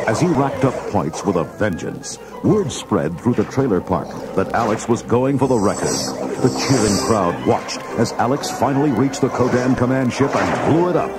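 A man narrating a scripted children's read-along story in a dramatic, clearly phrased way. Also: lowish voice, lively intonation, normal speed, loud music behind the voice.

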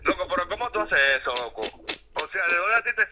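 A man's voice: high-pitched, big pitch swings, fairly quick, background noise. A man with a Dominican accent talking casually over a phone speaker, slangy and repeating himself. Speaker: caller being pranked. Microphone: phone held to studio microphone.

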